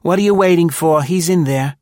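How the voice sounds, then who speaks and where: Man putting on a snappy blonde secretary, pitched up, loud, dry. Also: brisk, dry recording, close to an everyday voice. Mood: scornful. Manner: sharp and pettish. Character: tall angry blonde secretary, about thirty.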